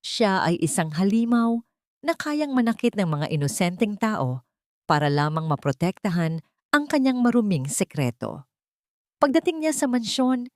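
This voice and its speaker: A woman narrating a scripted audio drama in a steady, dramatic storytelling manner. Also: fairly low pitch, no echo, studio quality.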